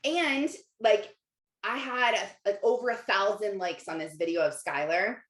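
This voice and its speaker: A woman's voice: mid-range voice, a little slow, fairly bright tone. A woman with a mid-range voice gives an informal motivational talk as a host and life coach. She is conversational and emphatic, leaning on repetition and rhetorical questions. The sound is roomy and echoey. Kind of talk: casual motivational livestream talk to viewers, reading out comments.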